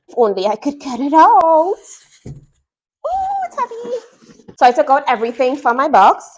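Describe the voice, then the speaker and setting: A woman's voice: medium pitch, poor audio. Chatty Trinidadian woman sewing teacher. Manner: chatty, explains step by step. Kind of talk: product unboxing and tutorial.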